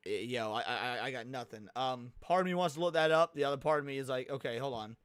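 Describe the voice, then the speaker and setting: A young American man giving casual livestream commentary, with slangy, profane, excitable talk. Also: medium pitch, fast, smooth, no room sound, clean studio sound. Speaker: livestream host reacting to a video.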